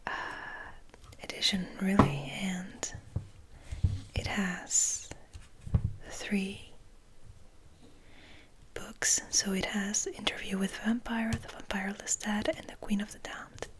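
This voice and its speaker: Slow whispering woman, ASMR host. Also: medium pitch, little pitch movement. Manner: slow, hushed whispering with soft pauses. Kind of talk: whispered, soft-spoken ASMR. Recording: noticeable background noise.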